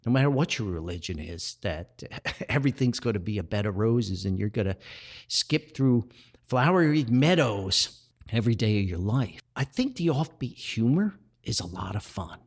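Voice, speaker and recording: A man's voice: on the low side, sing-song, normal speed, breathy edge. An older man hosting a podcast, reflecting in a rambling, earnest monologue, often repeating words and backtracking as he goes. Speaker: podcast host and author.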